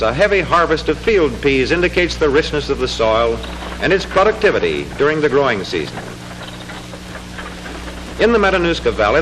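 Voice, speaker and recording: A man narrating a 1940s educational film in a formal, measured, declamatory manner, reading from a script. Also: on the high side, fairly bright tone, low-quality recording. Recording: 1940s film soundtrack with music. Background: music.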